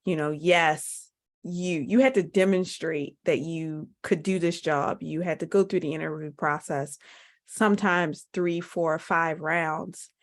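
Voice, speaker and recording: A woman's voice: low-pitched, steady tone, measured pace. A woman hosting a podcast interview, asking long, searching questions in a conversational way. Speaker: podcast host.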